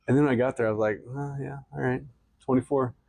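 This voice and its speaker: A man's voice: mid-range voice, steady tone, fast talker, vocal fry, warm tone, no echo. A man in his late thirties co-hosting a podcast, joking and teasing in casual chat, laughing often. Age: 30s. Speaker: podcast co-host.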